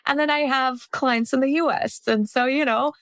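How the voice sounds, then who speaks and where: A woman in her forties from India, a guest telling her story in a reflective, measured way during a casual interview. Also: sits high, steady tone, a bit bright, dry recording, clean studio sound. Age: 40s.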